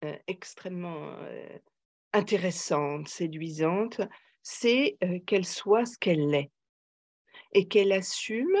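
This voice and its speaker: A woman's voice: lowish voice, a little mellow. Older female guest. Thoughtful, emphatic psychoanalyst in conversation, feeling out each phrase. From Paris, France. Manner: emphatic, reflective, halting as she searches for words. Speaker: psychoanalyst and author, podcast guest. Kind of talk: conversational interview answers.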